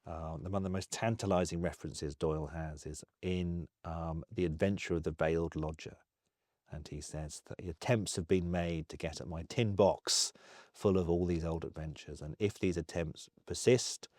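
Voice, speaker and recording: Englishman, interview talk. Low-pitched, mellow, closely recorded with no room. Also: no room sound, clean studio sound.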